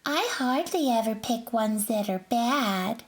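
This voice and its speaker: A woman's voice: fairly high pitch, lively intonation, slow. A woman voicing a naive, confident, questioning young listener in a children's storybook, with a lilting delivery. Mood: self-assured.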